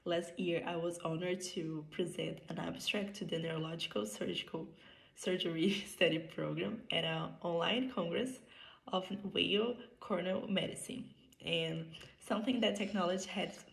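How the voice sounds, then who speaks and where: A woman in her twenties from Brazil, whose first language is Portuguese, gives a prepared video presentation as a medical student in English, speaking earnestly with a Brazilian Portuguese accent and slightly halting phrasing. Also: lowish voice, steady tone, vocal fry, echoey room, poor audio.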